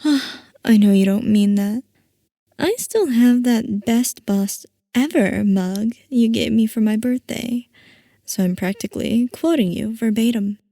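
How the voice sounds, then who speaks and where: A woman's voice: on the high side, dark tone, no room sound, studio quality. A woman playing a playful, theatrical mad-scientist villain boss in a roleplay audio, coy and mock-innocent as she teases. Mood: smug. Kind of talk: scripted roleplay audio, ASMR-style character acting.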